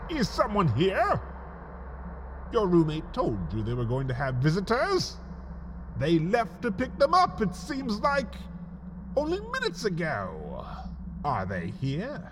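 A man narrating a scripted comic story in the second person, theatrical and melodramatic, with dramatic pauses. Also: sits high, huge pitch swings, a bit bright, slight background noise. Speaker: writer and narrator of a comedy story podcast.

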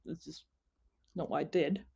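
Young woman, lower-pitched and self-deprecating. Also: normal speed, vocal fry, husky. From Finland and Oxford, England. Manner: animated, self-deprecating, acts out imagined quotes. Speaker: interview guest, actor and writer. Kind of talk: casual conversational interview.

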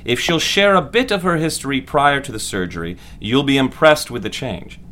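A man's voice: medium pitch, expressive, normal speed, fairly bright tone, natural voice. A man playing a pompous, patronizing doctor lecturing students formally in a 1940s-style audio drama. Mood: smugly didactic. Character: pompous male doctor lecturing medical students, clinically smug.

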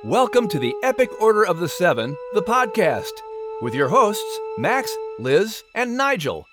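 A man's voice: high voice, big pitch swings, unhurried, music in the background. A man with an American accent narrating and hosting a scripted comedy podcast in a chatty, rambling, playful way. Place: studio.

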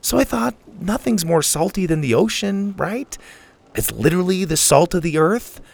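A man's voice: sits high, lively intonation, normal speed, vocal fry, voice pushed up, heavily acted. A man voicing an awkward young girl in an adventure story, explaining herself hesitantly. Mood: sheepish. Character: awkward, literal-minded young girl, a twin granddaughter.

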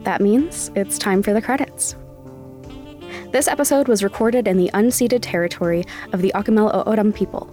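A woman's voice: medium pitch, normal speed, dark tone. A woman presenting a podcast, reading scripted announcements and credits. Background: faint music.

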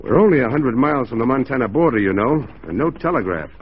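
An actor playing a proud, upright mounted police officer with a firm, plain-spoken manner in a 1940s radio drama. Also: male voice, mid-range voice, animated, quick pace, close to an everyday voice. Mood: pointed. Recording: muffled, noisy 1940s radio recording.

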